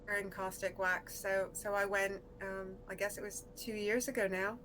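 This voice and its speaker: An American woman whose accent has shifted toward British after decades in the UK, chatting relaxed as a guest artist over a voice call. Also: medium pitch, moderate pace, a little hiss or hum. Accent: American softened by decades in Britain. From United States. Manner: relaxed, laughs easily, trails off. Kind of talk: casual interview chat.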